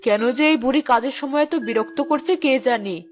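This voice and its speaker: A woman's voice: high-pitched, normal speed, over music, close to an everyday voice. A woman voicing an impatient, skeptical elder sister from a village in a cartoon. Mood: annoyed. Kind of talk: cartoon voice acting.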